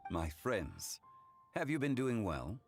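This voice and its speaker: A composed, ancient video game scholar. His low voice moves with expressive intonation through formal, courteous, measured lines. Music plays behind him. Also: full character voice. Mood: calm, assured. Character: composed, courteous ancient man, learned antiques consultant. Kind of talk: English video game voice acting.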